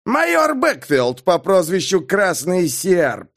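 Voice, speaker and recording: Mocking military major for an audiobook: high, animated, jeering delivery with a cackle. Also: male voice, a little slow. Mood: mocking swagger. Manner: jeering, theatrical, bursts into cackling laughter. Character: mocking, loud, cackling army major, adult man.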